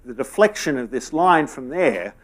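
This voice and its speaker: Halting middle-aged man answering questions. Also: mid-range voice, sing-song. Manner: halting, many fillers and restarts. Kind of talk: conference Q&A. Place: conference room with an audience.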